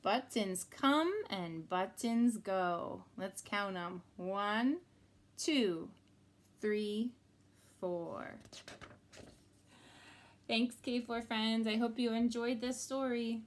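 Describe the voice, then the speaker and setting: A woman's voice: on the high side, drawn-out words, long pauses, a little hiss or hum. A woman reading a picture book aloud to young children as a teacher, with sing-song, exaggerated expression.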